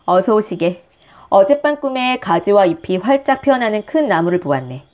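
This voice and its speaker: A woman voicing a wise elder male Buddhist master in a storybook, welcoming and measured, with old-fashioned speech. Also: medium pitch, big pitch swings, a little mellow, natural voice. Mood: dignified, welcoming. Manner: measured, dignified, archaic speech.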